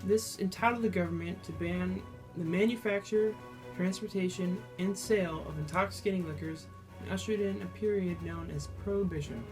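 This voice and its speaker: A man's voice: sits high, normal speed, music in the background. A man formally reading a scripted news report as a correspondent.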